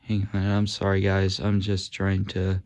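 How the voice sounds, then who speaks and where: A man with a lowish voice walks through a gadget demo at home. He talks slowly and haltingly, filling pauses with uhs and ums as he fiddles with the devices in front of the camera. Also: monotone, darkish tone. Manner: halting, many uhs and ums, talks while handling gear. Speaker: hobby video host demonstrating walkie-talkies. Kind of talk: casual hands-on demo.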